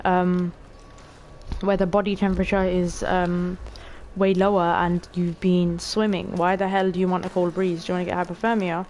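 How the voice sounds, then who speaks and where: A woman in her late teens with a stuffy nose, casually narrating a game stream, chatty and excitable, swearing offhand and talking to her viewers. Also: on the low side, normal speed, a little hiss or hum. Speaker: gaming streamer. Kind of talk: casual let's-play commentary.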